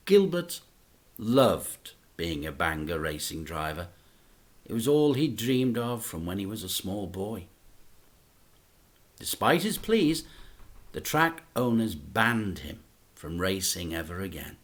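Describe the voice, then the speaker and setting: A man, a teacher, reading a children's book aloud in an expressive, dramatic storytelling manner. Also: sits low, slow pace, pauses often.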